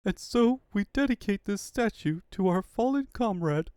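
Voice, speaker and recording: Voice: mid-range voice, expressive, moderate pace, a little mellow, clear, resonant tone, dry recording, clean studio sound, lightly acted. A performer voicing a grave, dutiful young female leader with a solemn, emotional manner in a cartoon comic dub.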